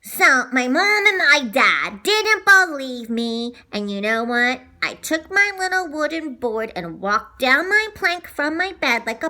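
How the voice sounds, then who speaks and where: Woman playing an excitable, high-pitched little boy. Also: full character voice. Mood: indignant, determined. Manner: exclamatory, dramatic, drawn-out words. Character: excitable young boy wary of an alligator. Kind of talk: children's storybook read-aloud.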